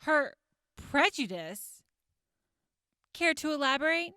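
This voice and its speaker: Woman doing a courtroom prosecutor, high animated voice, slow pointed questions, skeptical. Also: dry recording, studio quality, full character voice. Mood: probing. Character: sharp, probing woman prosecutor. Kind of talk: audio drama acting.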